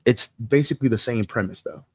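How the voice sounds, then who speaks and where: A man's voice: medium pitch, fast talker, darkish tone, no echo, muffled recording. A man podcast co-host chatting casually, opinionated and emphatic, swearing freely as he makes his points. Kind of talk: casual chat about video game movies.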